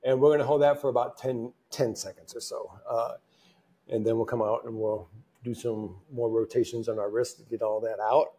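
A man's voice: mid-range voice, steady tone, normal speed, warm tone, husky. A middle-aged man coaching a wrist mobility class in a home gym, giving cues and counting out holds, recorded by a camera some way off. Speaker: fitness coach.

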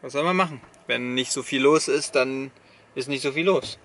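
A man's voice: medium pitch, moderate pace, a bit bright. A man, chatting casually as a travel vlogger into a handheld camera. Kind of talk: casual vlog chat. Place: inside a camper van, on a windy beach. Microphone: handheld camera at arm's length. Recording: slightly distant, noisy camera audio.

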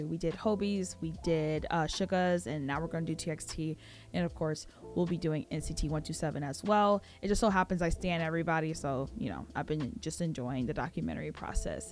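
A young woman hosting a reaction channel, chatting excitedly and talking back to the screen, her voice a bit hoarse from screaming. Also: fairly low pitch. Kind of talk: casual reaction chat. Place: small home studio, indoors. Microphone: studio mic on boom arm, close.

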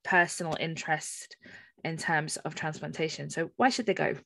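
A woman's voice: sits low, steady tone, moderate pace, creaky, a bit bright, no echo. A middle-aged woman, hosting a casual panel discussion over a video call. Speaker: podcast host.

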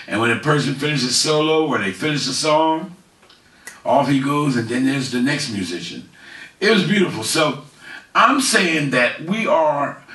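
A middle-aged man hosts his own community commentary show from a living-room couch, talking in a chatty, folksy way. His voice is bright and forceful. It sounds a little distant in a roomy space. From Philadelphia area, USA. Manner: chatty, folksy, pitching local events. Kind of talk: casual commentary to camera. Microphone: headset mic, far camera.

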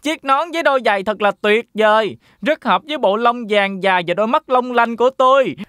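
A woman's voice: on the high side, fairly quick, a bit bright, dry recording, studio quality, lightly acted. A woman voicing a clever, boastful talking cat with a self-assured, persuasive manner in a children's fairy-tale cartoon. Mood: proud.

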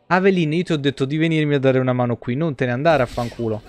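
A man's voice: medium pitch, fast talker, darkish tone, a little hiss or hum. A young man giving casual live game commentary, muttering and swearing in exasperation to his viewers. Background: video game music.

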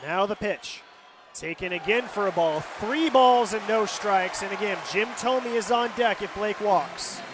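High-pitched American baseball play-by-play man. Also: measured pace. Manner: descriptive, calling action as it happens. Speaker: radio play-by-play announcer. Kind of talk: live baseball radio commentary. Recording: loud stadium crowd noise behind. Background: ballpark crowd and outdoor stadium ambience.

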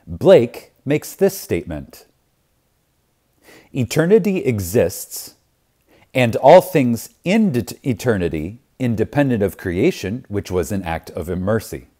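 Male lecture reader: very slow and reverent, widely varied intonation, deliberate pauses and emphasis. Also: lowish voice, sing-song, warm tone. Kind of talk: scripted spiritual lecture reading.

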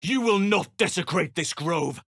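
An actor playing a fierce, righteous druid warrior in a fantasy video game, declaiming battle cries and incantations forcefully. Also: male voice, on the high side, expressive, measured pace, no room sound, studio quality. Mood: battle-charged.

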